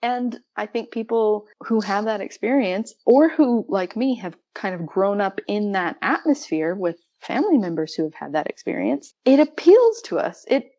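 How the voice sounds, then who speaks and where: A woman's voice: medium pitch, expressive, moderate pace, few pauses, warm tone, no echo, muffled recording. A woman with an American accent, from rural Arizona, talking casually and reflectively about literature as a podcast guest. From rural Arizona, United States. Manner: chatty, reflective, laughs readily.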